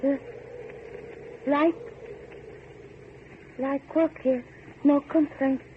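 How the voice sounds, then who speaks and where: An actress playing a timid, dazed factory worker in an old radio horror drama, speaking in halting, broken phrases as if by rote. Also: female voice, high-pitched, steady tone. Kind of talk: 1940s radio horror acting. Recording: tinny, muffled old radio recording with hiss.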